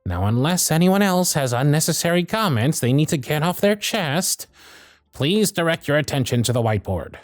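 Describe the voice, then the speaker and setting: A man playing a gruff but fond elf workshop supervisor in an ASMR roleplay, with a bossy, teasing, sardonic way of talking. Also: a little high, very animated, moderate pace, no room sound, clean studio sound. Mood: stern, sardonic.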